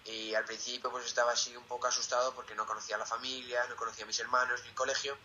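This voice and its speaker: Late-teen male, Spain Spanish accent, casual interviewee, very bright forward tone, slight background noise. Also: mid-range voice, flat delivery, rapid-fire, dull audio. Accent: Peninsular Spanish. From Zaragoza, Spain. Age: late teens. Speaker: interviewee, student.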